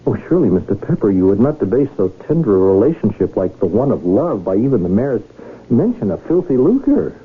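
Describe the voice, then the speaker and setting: A man acting a shrewd, courtly lonely rich gentleman in a 1970s radio drama, with formal, florid, deliberate speech. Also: on the low side, sing-song. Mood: mock-earnest. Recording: tinny, noisy old radio broadcast.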